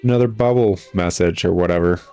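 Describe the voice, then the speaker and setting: Low-voiced, exasperated man griping over game music. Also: a little fast, mellow, clear, resonant tone. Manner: sarcastic, exasperated, chuckling griping. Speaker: gamer critiquing a video game. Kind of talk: casual gameplay commentary, reading dialogue aloud. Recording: music behind the voice. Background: video game music.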